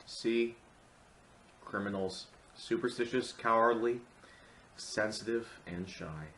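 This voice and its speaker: A man's voice: fairly low pitch, takes it slowly, fairly bright tone, slight background noise. A man giving a comedic film review with sarcastic, theatrical delivery. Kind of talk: comedic scripted review commentary. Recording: echoey room.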